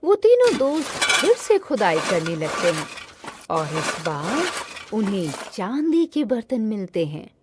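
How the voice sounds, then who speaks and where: A woman's voice: mid-range voice, wildly swooping pitch, a little slow, background noise. A woman storyteller reading scripted narration for a cartoon tale.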